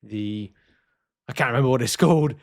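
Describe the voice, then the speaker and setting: Male gaming video host, mid-range pitch. Casual commentary, unscripted and rambling, hesitant as he reasons things out aloud. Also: brisk. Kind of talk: casual game news commentary, reading announcements and musing.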